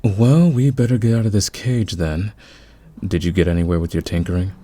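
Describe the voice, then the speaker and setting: A man in an audio roleplay playing a friendly, easygoing thief with a relaxed, encouraging, conversational manner. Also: low-pitched, moderate pace. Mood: easygoing, concerned. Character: friendly, easygoing male thief, curious about teammates.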